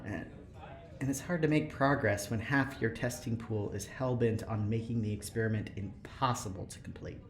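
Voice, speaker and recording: A man's voice: fairly low pitch, moderate pace, lightly acted. An actor playing an eager, earnest male prison engineer in an audio drama, rambling hesitantly with nervous laughter. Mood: explaining, resigned. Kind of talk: audio drama acting, naturalistic.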